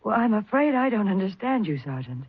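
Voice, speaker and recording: A woman's voice: mid-range voice, big pitch swings, brisk, slightly rough. An actress playing an earnest, puzzled married woman, questioning and concerned, in a 1970s radio mystery. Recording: muffled lo-fi 1970s radio recording.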